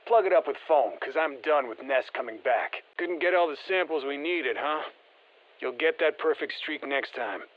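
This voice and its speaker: An easygoing special forces operator for a video game. He talks mission business over a muffled radio, in a plain-spoken, wry, colloquial way at a medium male pitch. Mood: urgent.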